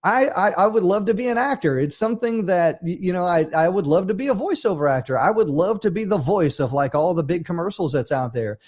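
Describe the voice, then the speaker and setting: A man's voice: high-pitched, lively intonation, brisk, hardly any pauses. A man hosting a livestream talk show, speaking earnestly and emphatically, often repeating himself for effect. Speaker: host.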